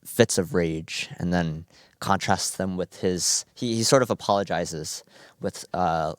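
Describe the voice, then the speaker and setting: A man in his twenties from New Jersey with a very deep voice. He talks about music eagerly and earnestly, filling his pauses with uhs and ums. The sound is dry and close. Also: no room sound. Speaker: cellist, music student. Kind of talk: radio interview. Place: radio performance studio.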